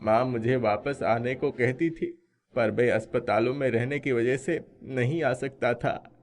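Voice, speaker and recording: A man's voice: mid-range voice, steady tone, measured pace, lightly acted. Weary, remorseful grown son, a man acting. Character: ailing runaway son, weary, remorseful, devoted to his mother. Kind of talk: dramatised audio story acting.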